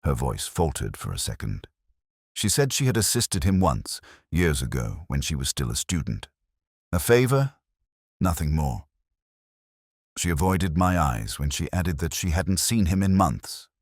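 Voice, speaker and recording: A man narrates a first-person detective tale in an extremely deep voice. His delivery is measured and literary, with a reflective manner and lively intonation. The sound is dry, free of room echo. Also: slightly rough.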